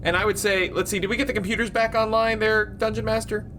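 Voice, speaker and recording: A man's voice: high voice, steady tone, quick pace. A man bantering as a player in a tabletop game, with a joking, teasing manner. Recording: noisy recording with room ambience.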